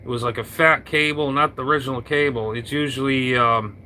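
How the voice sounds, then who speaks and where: A man chatting casually over his radio, rambling and halting with lots of fillers and restarts, talking into an old hand mic. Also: mid-range voice, steady tone, sharp tone, slight background noise. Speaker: radio operator. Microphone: vintage hand mic on a radio.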